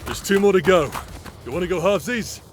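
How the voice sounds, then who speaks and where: A reckless, gleeful brawler with a high, boisterous voice that leaps all over the place. He is brash and excitable, like a hothead in an anime audio drama. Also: a little fast, crowd noise. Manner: boisterous, excitable, whiny when slighted. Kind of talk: audio roleplay acting.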